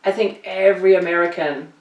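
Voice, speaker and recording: A woman's voice: fairly low pitch, fairly even intonation, a little fast, dull audio. A woman from Germany hosting a casual talk show, speaking emphatically and earnestly and repeating phrases to drive home her opinions. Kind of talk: casual conversational opinion chat. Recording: roomy echo, distant from mic.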